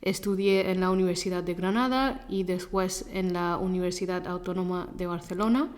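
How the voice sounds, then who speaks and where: A woman's voice: lowish voice, fairly even intonation. Female interview guest, Gujarati mother tongue; conversational, feels her way through sentences and corrects herself. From Leicester, England. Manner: halting, self-correcting second-language speech. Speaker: interview guest, Spanish teacher.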